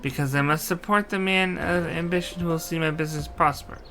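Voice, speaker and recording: A man's voice: fairly high pitch, steady tone, a little fast, pushed and bright, faint music behind, pitched above the performer's own voice. A man voicing a shrewd, stubborn saddle maker in a video game, haggling brusquely. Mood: hard, unyielding. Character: shrewd, stubborn male saddle maker driving hard bargains. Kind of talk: amateur character voicing of game dialogue.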